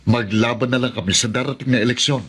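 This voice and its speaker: A resolute young Filipino governor in a radio drama. His mid-range voice is rough and husky. He speaks firmly, as someone who will not back down. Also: mid-range voice, fairly even intonation, fast, a bit bright. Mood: defiant. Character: resolute, ambitious young male governor, defiant grandson.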